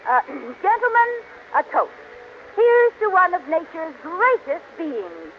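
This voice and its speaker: A woman's voice: extremely high pitch, wildly swooping pitch, a little slow, full character voice. A woman playing an eager, scatterbrained wife putting on intellectual airs in an old-time radio comedy, with gushing, theatrical emphasis. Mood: grandly enthusiastic. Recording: muffled old radio recording, faint noise.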